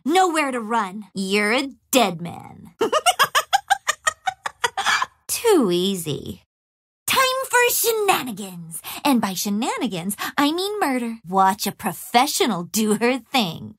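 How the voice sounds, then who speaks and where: A woman's voice: high-pitched, a bit bright, heavily acted. An actress playing a cunning young female assassin in a game, taunting her foes with playful menace and relishing every kill. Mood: gleeful. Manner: gleeful, teasing, relishes killing. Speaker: video game character. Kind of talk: game voice lines. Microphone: studio mic.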